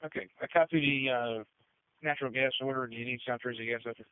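A man's voice: medium pitch, steady tone, fast, smooth, dry recording. A man working as a fire dispatcher, giving clipped, procedural readbacks over two-way radio. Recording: tinny narrowband radio transmission.

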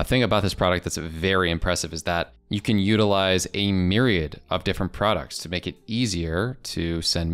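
A man in his twenties with an American accent, presenting a casual software demo into a close desk microphone in a small home studio. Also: sits low. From United States. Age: 20s. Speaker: presenter of software review videos. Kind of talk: casual product demo narration.

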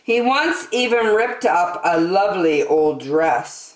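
Very animated older woman reading to children. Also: lowish voice, far from the mic. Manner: expressive, drawn-out emphasis for children. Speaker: children's story time host. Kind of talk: read-aloud picture book for young children. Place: small decorated indoor room. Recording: roomy echo.

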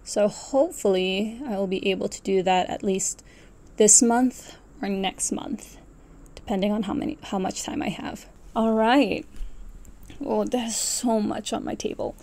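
Mellow-voiced young woman chatting to camera. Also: mid-range voice, unhurried. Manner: rambling, talks to herself and self-corrects. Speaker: knitting vlogger. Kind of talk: casual chatty yarn haul to camera. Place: small furnished room at home, indoors.

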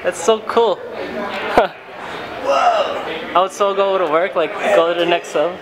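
A young man vlogging casually in a slangy, excitable way into a handheld camera. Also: high-pitched, a little slow, poor audio. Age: young adult. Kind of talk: casual vlog chat. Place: large busy indoor office space with people around. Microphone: handheld camera at arm's length. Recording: loud crowd chatter behind.

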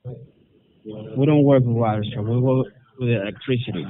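A man's voice: medium pitch, steady tone, normal speed. Curt male call agent on tinny phone. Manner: curt, foul-mouthed. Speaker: scam call centre agent.

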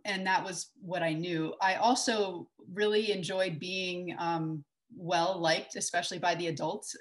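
A woman's voice: lowish voice, fairly bright tone, poor audio. A woman in her forties from Texas giving a conversational conference talk over a video call, with many fillers and restarts. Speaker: conference speaker. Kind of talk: online conference talk with slides. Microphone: webcam on a video call.

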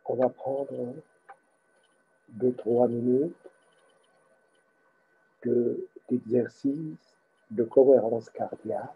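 A man guiding a meditation as its teacher, speaking haltingly in short phrases with pauses between them. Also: medium pitch, steady tone, husky, narrow, tinny audio. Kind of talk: guided meditation instruction.

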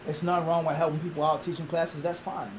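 A man's voice: fairly high pitch, flat delivery, fast, background noise. A man in his thirties answering an interview in a small classroom, emphatic and full of rhetorical questions. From Philadelphia. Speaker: interviewee, Islamic teacher.

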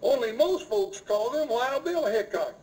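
A big, folksy American cowboy sidekick for comic relief in a radio western. His voice is extremely high. The vintage recording places him a little away from the mic. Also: expressive, a little slow, a little mellow, far from the mic, poor audio. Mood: jovial. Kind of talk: old-time radio western acting.

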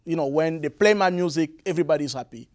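A young man from the Republic of the Congo with a Congolese French accent, giving halting interview answers full of fillers and repeats. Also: on the high side. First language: Lari. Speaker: interview guest, musician. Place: studio with blue curtain. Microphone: lapel mic.

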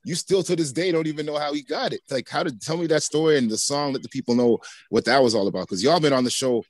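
A man's voice: a little high, quick pace. A man hosting a casual hip hop podcast over a video call, hyped and slangy, often talking over his guest. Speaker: podcast host. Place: home studio with posters behind.

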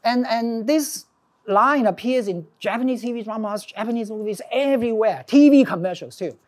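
A middle-aged man speaks with a Hong Kong accent in the manner of a professor addressing a class. His delivery is informal and animated, breaking into emphasis as he explains. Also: very high voice, moderate pace. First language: Cantonese. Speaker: professor, lecturer. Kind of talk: informal academic lecture. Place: classroom with small audience, indoors.

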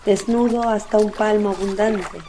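A woman's voice: mid-range voice, fairly even intonation, normal speed, mellow, a little hiss or hum. A woman narrating a literary radio play from a script.

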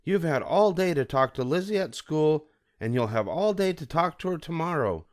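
A man's voice: on the high side, animated, voice pushed up, close to an everyday voice. Man doing a strict, scolding mother bear. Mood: stern. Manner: slow, firm scolding. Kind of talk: storybook read-aloud.

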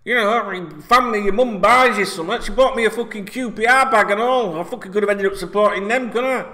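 A man's voice: high voice, fast talker. Sweary Yorkshire man ranting about football. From North Yorkshire, England. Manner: sweary, blunt, animated, keeps asking "right?". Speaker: football vlogger. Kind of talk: casual opinion rant to camera. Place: small indoor room, seated in red chair.

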